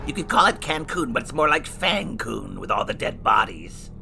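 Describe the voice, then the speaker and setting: A sarcastic horror host with a shriveled, burnt face, as a man plays him, trading theatrical jokes in a scripted horror review. His voice is rough and gravelly and swings widely up and down. Music plays clearly beneath him. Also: medium pitch, very animated, moderate pace, sharp tone, heavily acted. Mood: snarky. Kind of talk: scripted comedic review banter. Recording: music bed underneath.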